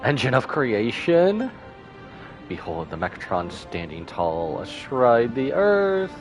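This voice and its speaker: A man's voice: mid-range voice, wildly swooping pitch, slow pace. A man doing casual live gameplay commentary as a streamer, playfully reacting and musing aloud. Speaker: game livestreamer. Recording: music behind the voice.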